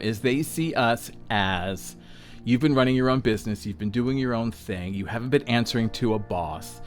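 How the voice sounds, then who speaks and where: A man in his sixties talking casually and bluntly, swearing freely, mocking himself and checking in with the listener. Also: mid-range voice. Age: 60s. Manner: blunt, profane, self-mocking, lots of "um" and "all right?". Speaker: podcast host, self-employed designer. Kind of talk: unscripted solo talk.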